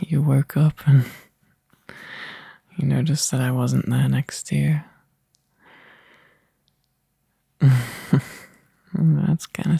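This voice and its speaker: A man playing a tired, overworked young boyfriend in a soft, intimate ASMR roleplay, halting and breathy, often dropping into a whisper close to the mic. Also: on the high side, no echo, heavily acted. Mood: tender. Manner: halting, drowsy, sighing delivery. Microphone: close microphone, dry.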